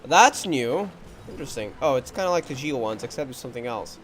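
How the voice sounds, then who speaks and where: A man's voice: medium pitch, big pitch swings, a little fast. A man giving casual game commentary as he plays, thinking aloud in short reactions. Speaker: gameplay streamer.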